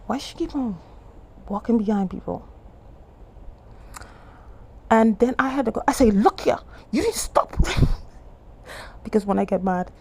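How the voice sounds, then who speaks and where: A woman from the Bahamas with a Bahamian accent, telling a church testimony in casual, animated talk and jumping high when she quotes people. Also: measured pace, gravelly, pauses often, husky. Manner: animated, acts out quoted lines in a much higher voice; restarts and trails off often. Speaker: testimony vlogger.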